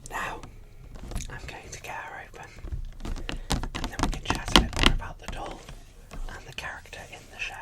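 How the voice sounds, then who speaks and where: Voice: normal speed, long pauses. Whispering British ASMR creator. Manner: soft, casual, chatty whisper. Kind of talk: whispered ASMR unboxing commentary.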